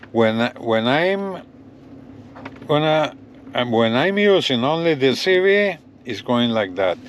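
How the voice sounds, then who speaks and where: A man's voice: mid-range voice, muffled recording, a little hiss or hum. A man giving a casual hands-on product demo to viewers, repeating himself with enthusiastic phrasing, in and around a parked truck. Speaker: gadget review vlogger.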